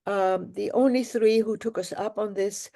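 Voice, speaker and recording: An older woman from Germany speaking as an interview guest. Her voice is mellow and warm, and she recalls her memories slowly and with care, pausing now and then. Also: medium pitch, fairly even intonation, creaky. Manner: reflective, measured, with pauses. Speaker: guest, Holocaust survivor. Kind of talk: interview storytelling.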